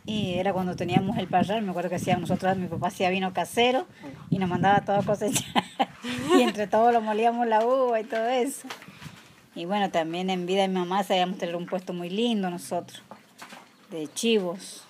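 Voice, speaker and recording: A woman's voice: lowish voice, steady tone, fast talker. A woman in her forties with a rural Mendoza Argentine accent, answering informal interview questions in a plain, somewhat hesitant way. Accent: rural Argentine Spanish from Mendoza. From rural Mendoza province, Argentina. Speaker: interviewee, artisan weaver. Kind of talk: informal oral history interview. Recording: clearly noisy background with clicks.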